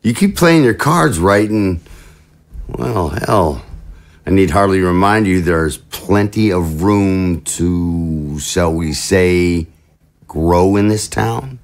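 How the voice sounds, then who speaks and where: A man's voice: deep voice, lively intonation, slow, exaggerated voice. A man playing a jovial, scheming political chief of staff in an audio drama, with a glib, jocular, theatrical manner. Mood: flattering, jovial.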